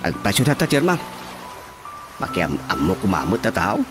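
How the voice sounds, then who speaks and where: Lively swooping male voice, Ilocano accent, man acting as boastful eager councilman, radio comedy, music behind. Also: fairly low pitch, moderate pace. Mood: earnest. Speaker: radio drama voice actor.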